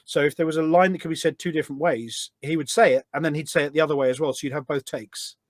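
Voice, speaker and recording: A man's voice: on the high side, fairly even intonation, fast talker, dry recording, clean studio sound. A man chatting casually as an interview guest, telling anecdotes in an enthusiastic, talkative way. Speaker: podcast guest, voice pack producer.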